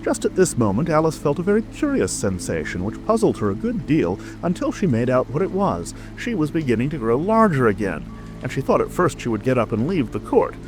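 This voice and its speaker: A man's voice: mid-range voice, very animated, brisk. A man reading a scripted storybook narration as a dramatic audiobook narrator. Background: music.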